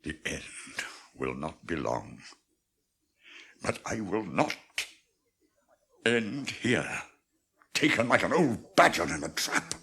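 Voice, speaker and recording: A weary old warrior king with a British accent in a classic radio drama. The voice is gravelly and swings widely in pitch, grave and declamatory in archaic phrasing. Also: big pitch swings, slow pace, pauses often, sharp tone, full character voice. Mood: brooding, doubtful.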